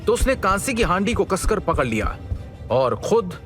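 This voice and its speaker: A man narrating a scripted audio story in a dramatic, measured and suspenseful manner. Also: sits high, sing-song, fairly bright tone. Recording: music bed under the voice. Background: background music.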